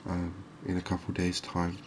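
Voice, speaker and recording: A soft-spoken man giving unhurried, soothing ASMR commentary over a video game. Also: low-pitched, monotone, noisy background. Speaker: gaming video host.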